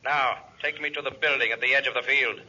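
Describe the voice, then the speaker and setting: An actor playing an arrogant visitor from outer space in a 1950s radio serial, giving orders in stilted, formal speech. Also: male voice, medium pitch, fairly even intonation, brisk, pushed and bright. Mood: imperious. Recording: dull, raspy 1950s radio recording.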